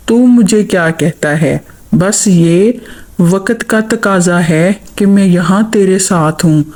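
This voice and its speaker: A proud, bossy male crow from a children's fable, acted out by a woman. The voice is pitched down and lowish. He talks at a slow, deliberate pace, lecturing and grumbling. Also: a little mellow. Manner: indignant, lecturing. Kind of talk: children's animal storytelling voice.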